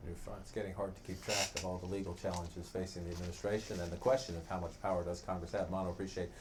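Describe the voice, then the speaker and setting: A man's voice: sits low, flat delivery, fast. A man anchoring a television news programme from a studio. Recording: TV broadcast with faint ambient noise.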